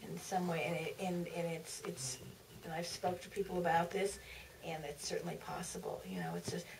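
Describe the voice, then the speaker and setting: Female author on a panel, lowish voice, reflective and halting, heard from off with some room noise. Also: animated. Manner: halting, searching for words, repeats starts of phrases. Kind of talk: reflective Q&A answer. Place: theater stage at a public Q&A. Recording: distant mic, faint room noise.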